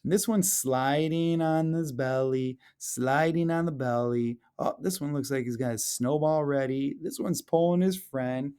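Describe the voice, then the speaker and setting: A man reading a picture book aloud as a teacher, chatting to children and explaining the pictures with expressive, drawn-out phrasing. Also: fairly high pitch, clear, resonant tone. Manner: questions and chats to children, explaining illustrations.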